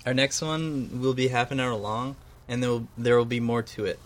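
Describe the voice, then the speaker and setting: A man's voice: medium pitch, steady tone, normal speed. Joking man co-hosting a homemade radio show. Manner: joking, rambling, self-deprecating. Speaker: co-host. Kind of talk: casual chat.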